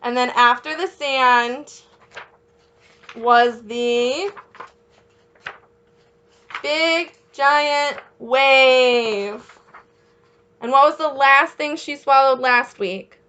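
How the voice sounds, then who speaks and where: A woman reading a picture book aloud as a children's teacher, encouraging young listeners with questions and pausing for their answers. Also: high-pitched, pushed and bright, a little hiss or hum.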